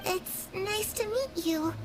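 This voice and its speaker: A woman voicing a polite, composed young girl in a video game, with a gentle, unhurried manner. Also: very high voice, loud music behind the voice, rough recording. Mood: calm, friendly. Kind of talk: video game character acting.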